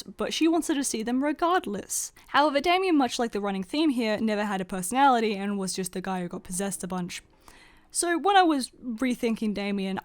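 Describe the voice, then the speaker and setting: A woman's voice: mid-range voice, no room sound. A young adult woman giving casual, scripted voice-over commentary as an artist, chatty and informal with a self-deprecating manner. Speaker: artist and video creator.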